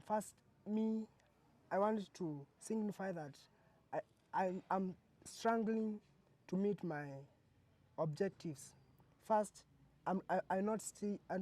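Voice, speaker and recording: A teenage girl with a Kenyan accent gives an earnest explanation. Her voice is on the low side and soft-edged, dark and mellow. She speaks haltingly, often repeating a word before going on. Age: teens. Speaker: secondary school student. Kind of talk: explanatory presentation.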